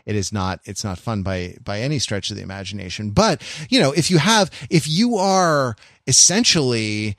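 Male podcast co-host, mid-pitched, close dry sound, conversational, circles back and repeats himself as he thinks aloud. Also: big pitch swings, normal speed, hardly any pauses, a bit bright, no room sound. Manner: rambling, repeats and restarts phrases mid-thought. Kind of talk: casual podcast discussion.

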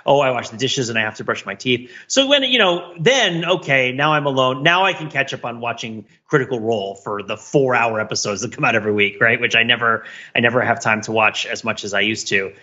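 Bright-voiced American man, slightly dull audio. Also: mid-range voice, a little fast, few pauses. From United States. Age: middle-aged. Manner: rambling, self-questioning. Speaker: podcast co-host. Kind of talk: casual podcast chat.

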